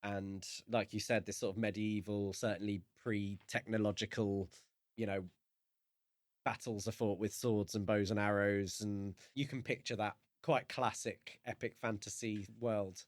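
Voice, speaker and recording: Lowish-voiced man, dry close sound. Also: flat delivery, measured pace, no room sound. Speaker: podcast co-host. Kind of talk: casual book discussion.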